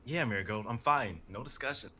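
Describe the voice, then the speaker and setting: A man's voice: medium pitch, fairly quick, bright, forceful tone, a little hiss or hum. A man playing a reckless, dutiful soldier in a dramatic reading of a sci-fi script. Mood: firm, confident. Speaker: reader of stage directions and a character.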